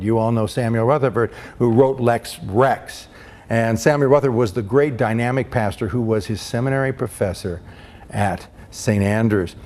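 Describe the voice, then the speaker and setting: Impassioned male preacher in a reverberant hall. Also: mid-range voice. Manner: impassioned, rising to loud peaks when quoting martyrs. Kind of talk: storytelling sermon.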